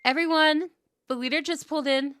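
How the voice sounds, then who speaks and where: Woman playing sweet young friend, slightly high. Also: steady tone, brisk, a bit bright, slight background noise. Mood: welcoming. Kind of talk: ASMR roleplay.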